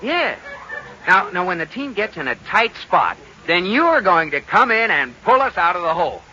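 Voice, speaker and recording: An actor playing a cocky, easily exasperated American husband in an old-time radio comedy. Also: male voice, high voice, very animated, moderate pace, muffled recording. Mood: flustered, placating. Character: cocky, exasperated husband, enthusiastic amateur ballplayer. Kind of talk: 1940s-50s radio comedy acting. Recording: noisy old radio recording.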